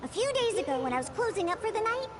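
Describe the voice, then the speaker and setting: Very high-pitched grumpy young catgirl. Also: very animated, racing, heavy background noise. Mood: impatient, explaining. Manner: huffy, exasperated, sighing. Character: grumpy young catgirl bartender who despises alcohol. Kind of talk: anime game voice acting. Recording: music behind the voice.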